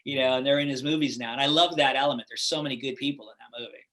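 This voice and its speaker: A man's voice: mid-range voice, steady tone, racing, bright, forceful tone. A middle-aged man from Los Angeles with a laid-back Californian accent, chatting loosely as an interview guest, with a lot of "like" and "right?" in his talk. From Los Angeles, USA. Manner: rambling, full of "like" and "right?". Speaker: guest actor. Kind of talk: casual interview chat.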